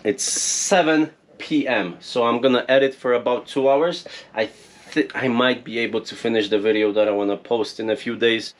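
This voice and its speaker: A man's voice: medium pitch, moderate pace. A man from Poland with a Polish accent, chatting casually and rambling in a vlog from a small shipping-container room out in the desert. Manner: rambling, chatty, self-deprecating. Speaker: vlogger. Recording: steady background noise.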